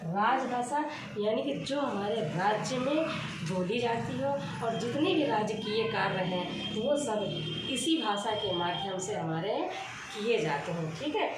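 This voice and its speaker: A woman's voice: medium pitch, unhurried. A woman teaching a grammar lesson, asking questions and answering them herself, repeating the key points. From India. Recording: echoey room, far from the mic, steady noise.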